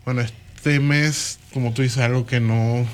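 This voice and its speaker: A man from Venezuela with a Venezuelan accent, a guest on a radio show, talking in a relaxed way with hesitant restarts. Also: medium pitch, a little slow, slight background noise. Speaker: credit union guest. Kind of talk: casual interview talk.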